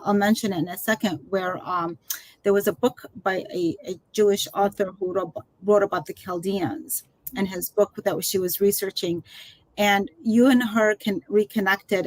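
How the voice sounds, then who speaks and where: A woman's voice: mid-range voice, steady tone, normal speed, no room sound, low-quality recording. A woman hosting a virtual interview, asking questions in a friendly way with lots of ums. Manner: friendly, filler-heavy questions. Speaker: interview host. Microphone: video call.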